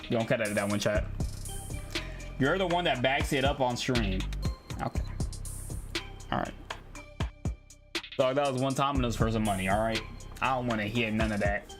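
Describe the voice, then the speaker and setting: A man with a mid-range voice talks to his livestream chat as he plays a visual novel. He is casual, slangy and jokey, swearing freely, with game soundtrack music clearly playing behind him. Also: mid-range voice, normal speed, pauses often.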